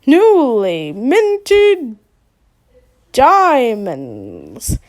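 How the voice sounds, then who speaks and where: A woman's voice: on the high side, huge pitch swings, drawn-out words, exaggerated voice. A woman voicing an awkward teenage boy who puts on a hammy announcer voice, then turns meek and unsure. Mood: mock-grand. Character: awkward teenage boy doing a pompous radio host bit.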